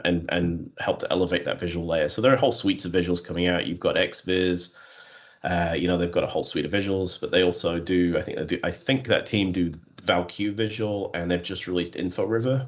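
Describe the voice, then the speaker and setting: A man with a very deep voice who talks in an even, flat delivery, circling back through fillers and self-corrections. He sounds like a guest in a relaxed interview. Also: moderate pace. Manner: self-described flat, monotonous voice; many fillers and restarts. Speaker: guest, custom visual developer. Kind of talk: conversational interview.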